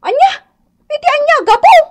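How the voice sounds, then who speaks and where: An actress playing a flustered, defensive village gossip in an excitable Filipino radio comedy drama. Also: female voice, very high voice, normal speed. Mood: alarmed. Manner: excitable, exclamatory.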